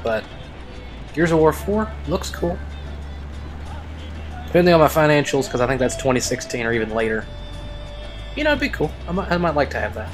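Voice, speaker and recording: A man's voice: fairly high pitch, big pitch swings, a little fast, pauses often. A young man giving casual let's play commentary, rambling conversationally with a self-deprecating streak. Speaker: gaming video host. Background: game music and vehicle sounds.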